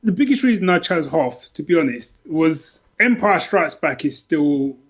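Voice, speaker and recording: A man's voice: fairly high pitch, animated, normal speed, breathy edge, muffled recording. A middle-aged man chatting casually as a podcast guest, enthusiastic and rambling, often repeating himself. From London, England. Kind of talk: casual chat, telling personal stories.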